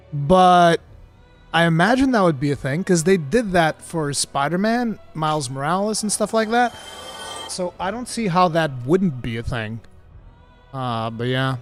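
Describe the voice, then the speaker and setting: A Portuguese man with an American-sounding English accent, chatting casually as a streamer and reading viewer questions. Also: on the high side. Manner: excitable, laughs often, says dude a lot.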